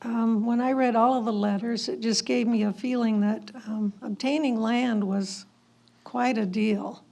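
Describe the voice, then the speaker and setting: Very creaky, slow-speaking woman presenter. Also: fairly high pitch, steady tone, warm tone. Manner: hesitant, with frequent throat clearing. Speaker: presenter commenting on historical letters. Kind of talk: informal historical narration to an audience.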